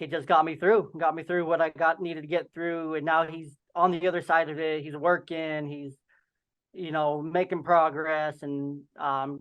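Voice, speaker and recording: A man's voice: on the high side, a bit bright. Young man with a slight lisp. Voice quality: slight lisp and occasional stutter. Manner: rambling, filler-heavy storytelling. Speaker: podcast host and guest. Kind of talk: casual video-call interview. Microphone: video call. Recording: dull lo-fi video call audio.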